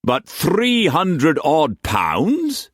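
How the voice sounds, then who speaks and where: A man voicing a stern, forceful merchant leader who speaks bluntly. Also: a little high, wildly swooping pitch, drawn-out words, dry recording, studio quality, pitched above the performer's own voice, exaggerated voice. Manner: blunt, commanding.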